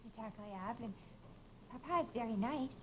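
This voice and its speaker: Casting: actress as demure young French daughter, high voice, French accent, polite and hesitant, old radio drama on muffled recording. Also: female voice, normal speed, lightly acted. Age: young adult. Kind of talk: 1940s radio drama acting. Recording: dull, noisy old radio broadcast.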